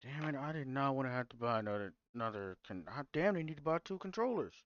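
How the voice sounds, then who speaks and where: A man's voice: mid-range voice, expressive, quick pace, no echo, lo-fi sound. A man streaming a game, chatting casually in a muttering, sweary way. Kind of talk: casual live playthrough chat. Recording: loud game music behind.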